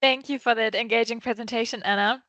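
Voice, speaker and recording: Woman, moderator, polite and formal, slightly high pitch, dry sound. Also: fairly even intonation, fast, fairly bright tone, no room sound. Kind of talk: scripted event moderation.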